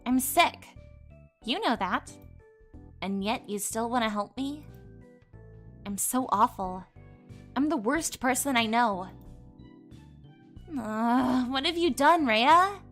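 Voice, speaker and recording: A woman's voice: fairly high pitch, animated, slow pace, pauses often, a bit bright, soft background music, full character voice. A woman voicing a troubled young female stalker from a visual novel, speaking in a bitter, self-deprecating way.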